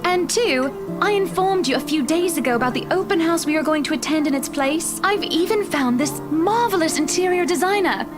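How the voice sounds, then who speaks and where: An actress playing a wealthy, assertive socialite wife in a visual novel game, haughty and easily exasperated. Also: female voice, very high voice, expressive, normal speed, hardly any pauses. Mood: insistent. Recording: music playing behind the voice.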